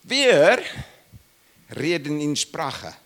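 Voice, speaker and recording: Emphatic preacher man, swooping pitch. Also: big pitch swings, drawn-out words, a bit bright. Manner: emphatic, rising and falling sharply, repeats questions to listeners. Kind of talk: Bible teaching sermon.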